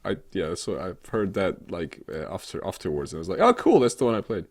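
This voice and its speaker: A man's voice: low voice, wildly swooping pitch, a little fast. A man hosting a casual gaming podcast in unscripted chat, hesitant in his phrasing and dryly joking. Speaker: podcast host.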